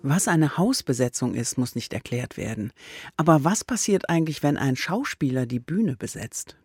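Man, radio presenter, scripted introduction, medium pitch, close dry studio microphone. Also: darkish tone, breathy edge, no room sound.

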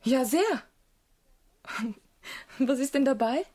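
Naive, frank young gentlewoman, higher-pitched. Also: expressive, takes it slowly, full character voice. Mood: frankly admiring. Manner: artless, self-assured, contrary. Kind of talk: German radio drama acting.

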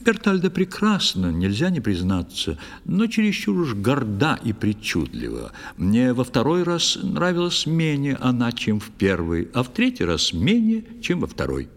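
Animated, husky young knight storyteller. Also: male voice, mid-range voice, moderate pace, hardly any pauses, in a large hall. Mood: animated recollection. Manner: dramatic, expressive declamation. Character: young knight, proud and ardent, telling his adventures. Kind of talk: theatrical verse storytelling in children's audio play. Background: faint music.

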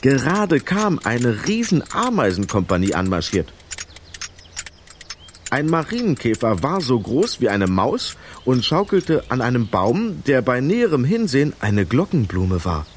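A man narrating a scripted children's story in an expressive, vivid storytelling manner. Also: medium pitch, a little slow, slight background noise.

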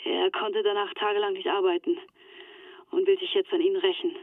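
A woman's voice: medium pitch, little pitch movement, a little fast, dry recording. An actress playing an anxious, resigned young woman in a German radio drama, speaking earnestly through a telephone filter. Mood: urgent, sober. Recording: thin, band-limited telephone line.

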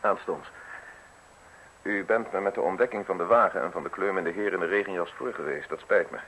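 An actor in a radio play voicing a modest, wordy public prosecutor who speaks with formal, excessive politeness and sly insinuation. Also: male voice, low voice, brisk, a bit bright, low-quality recording. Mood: apologetic, explaining. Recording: hissy old radio play recording.

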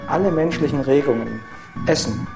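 A man's voice: a little high, normal speed, gravelly, over music. A man speaking in an interview, reflecting in an emphatic way and repeating key points.

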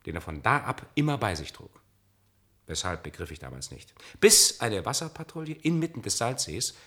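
A deep-voiced male singer, wounded and jealous, in a radio drama. He speaks theatrically, moving between sardonic bite and brooding thought. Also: fairly bright tone, exaggerated voice. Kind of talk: German radio play acting.

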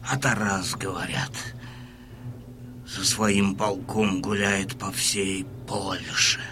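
A man's voice: low-pitched, steady tone, slow, over music. An actor playing a sorrowful wounded Cossack who tells his tale solemnly and dramatically in an audiobook drama. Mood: grave, epic.

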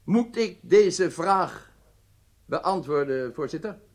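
A male actor playing a stern head of state in a radio drama, speaking formally and deliberately with emphatic phrasing. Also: fairly high pitch, expressive. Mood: reluctant.

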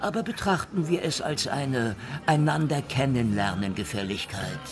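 A man's voice: medium pitch, moderate pace, over music, close to an everyday voice. An actor playing a sardonic, menacing private detective in a noir radio drama, with a clipped tough-guy delivery. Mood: sardonic menace.

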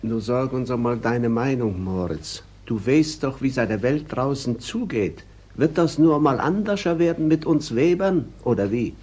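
An actor playing a poor, troubled old weaver in a radio drama, hesitant and repeating words in Silesian German dialect. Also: male voice, mid-range voice, lively intonation, moderate pace, a little mellow, close to an everyday voice. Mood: curious. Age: older.

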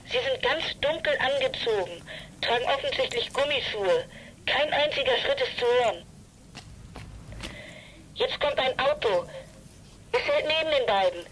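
A woman's voice: high voice, lively intonation, measured pace, long pauses, slight background noise, poor audio. A woman playing an earnest young girl lookout in a German children's audio drama, reporting in clipped phrases. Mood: alert, urgent.

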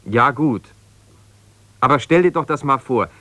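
A middle-aged husband who feels wronged and keeps justifying himself. His mid-pitched voice argues in broken, reproachful sentences, in the natural manner of a 1970s radio play. The sound is dry, with no room around it. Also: expressive, bright, forceful tone, no echo. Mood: reproachful, wounded. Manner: halting, argumentative, reproachful, breaking off mid-sentence. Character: aggrieved, self-justifying husband, an ambitious lawyer. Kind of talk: naturalistic 1970s German radio drama, one-sided conversation.

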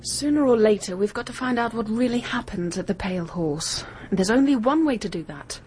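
An actress playing a brisk, practical, inquisitive British woman in a radio drama, frank and questioning. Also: a little high, expressive, a little mellow, a little hiss or hum, lightly acted. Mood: determined, practical. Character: brisk, practical, inquisitive Englishwoman plotting an investigation.

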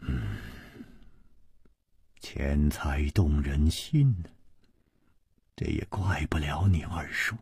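A man voicing a calm, gentle, confident hero detective in a martial-arts audiobook, speaking in hushed, deliberate words. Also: rumbling low, gravelly, slightly rough, no echo, studio quality, voice pushed down, heavily acted. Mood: grave, deliberate. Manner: hushed, slow, deliberate, word by word.

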